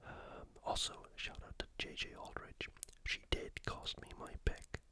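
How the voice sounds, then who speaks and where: ASMR sports recap host, full breathy whisper throughout, deliberate delivery with repeated phrases. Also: sharp tone.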